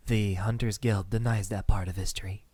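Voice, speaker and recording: A man voicing a possessive, tender, gentlemanly centuries-old vampire in an ASMR roleplay, speaking softly and intimately with sighs and pauses. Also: lowish voice, steady tone, breathy edge, no echo. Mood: reflective, grave.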